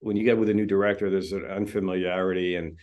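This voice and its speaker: Chatty man with a low voice. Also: fast, highs cut off. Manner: relaxed, chatty, many fillers and restarts. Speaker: film editor. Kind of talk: interview conversation.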